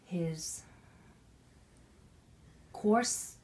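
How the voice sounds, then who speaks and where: Drunk woman stumbling slowly through a reading. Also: fairly low pitch, mellow. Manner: halting, stumbling over words, irreverent. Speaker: mother reading a Christmas poem to her family. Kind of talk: tipsy storybook reading with ad-libbed asides.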